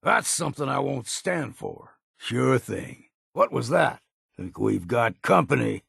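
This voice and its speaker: An actor playing a gruff, blunt, hard-drinking older island hunter in a video game, with a gravelly, raspy voice and a folksy rural drawl. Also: male voice, medium pitch, sing-song, a little slow, fairly bright tone, no room sound, studio quality, exaggerated voice. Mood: wary. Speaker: companion character in a video game.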